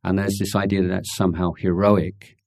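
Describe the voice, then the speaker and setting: A man giving an unscripted talk and answering questions from his audience, halting often with fillers and restarts, frank and mildly wry. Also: low-pitched, little pitch movement, vocal fry, darkish tone, dry recording, studio quality.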